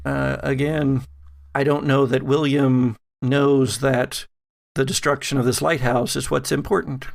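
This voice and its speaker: Male game master for gothic horror: unhurried, deliberate narration, creaky texture. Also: medium pitch, flat delivery. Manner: deliberate, pausing descriptions.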